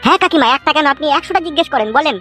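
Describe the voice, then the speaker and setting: A man's voice: extremely high pitch, lively intonation, rapid-fire, bright, forceful tone, exaggerated voice. A smitten young cartoon suitor with a Bengali accent played by a man. He talks at breakneck speed, eager, wheedling and boastful. Music sits behind the voice. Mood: polite, eager. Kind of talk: comedy cartoon dialogue.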